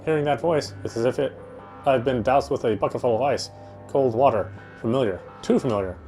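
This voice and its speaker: A man with a medium-pitched voice reads horror visual novel narration aloud. His delivery is dramatic and tense, told in the first person, with faint music behind him. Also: fairly quick, a little mellow. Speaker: narrator reading a game aloud.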